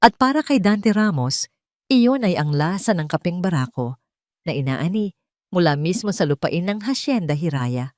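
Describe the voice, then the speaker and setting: A woman narrating a scripted dramatic love story in a measured, dramatic way. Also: lowish voice, sing-song, a little mellow, no room sound, studio quality.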